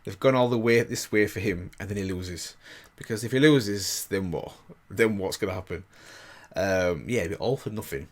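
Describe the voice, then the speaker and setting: A man's voice: on the low side, normal speed. A man hosting a reaction channel, giving casual, chatty commentary and repeating himself for emphasis.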